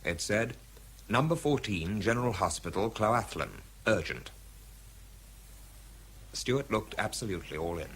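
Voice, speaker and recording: A man's voice: fairly low pitch, big pitch swings, unhurried, long pauses, a bit bright, breathy edge, exaggerated voice. An English actor playing a world-weary, bitter man who struggles with drink, narrating and speaking tersely in a radio drama. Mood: weary, tense. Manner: dry, terse, understated.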